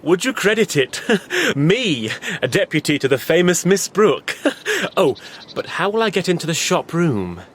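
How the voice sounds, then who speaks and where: Casting: a lively, cocky young Victorian fellow, bouncy pitch, faint low rumble behind. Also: male voice, unhurried. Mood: eager. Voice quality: eager, chatty, laughing easily. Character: cheeky young Victorian man posing as a reporter.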